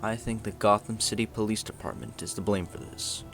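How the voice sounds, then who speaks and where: A low male voice acted by a man for a superhero radio play, stern and theatrical as a masked avenger or scheming lawyer. Soft music runs underneath. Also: steady tone, brisk. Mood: smug, orating. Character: grim masked vigilante and smug crime-boss lawyer. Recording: music behind the voice.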